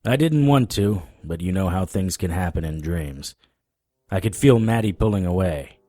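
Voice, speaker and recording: A weary supernatural investigator in a noir-like audio drama. His voice is low and husky, with dry, wry delivery and a very close, dry sound. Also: animated, normal speed, warm tone, no room sound. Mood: grim, haunted. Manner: dry, wry first-person narration.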